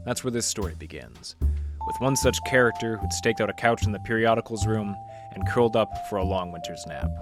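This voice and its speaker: An actor playing a world-weary, hard-boiled library detective, narrating his case in a wry, deadpan, mock-tough way. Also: fairly low pitch, natural voice. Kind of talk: noir radio detective narration. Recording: narration over loud guitar music. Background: guitar music.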